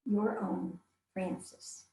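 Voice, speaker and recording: A woman's voice: medium pitch, steady tone, very slow, vocal fry, mellow, slight background noise, full character voice. A woman reading as a devoted, candid young wife of the 1830s, giving her letters in formal, earnest, old-fashioned phrasing. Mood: resigned, wistful. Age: young adult. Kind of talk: dramatic reading of 19th-century letters. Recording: roomy, echoing sound.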